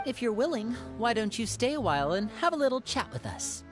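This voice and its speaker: Genial young gentleman for a game: courteous, smooth, welcoming, lively pitch movement. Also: a little fast, music in the background. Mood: courteous, inviting. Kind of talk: video game voice acting.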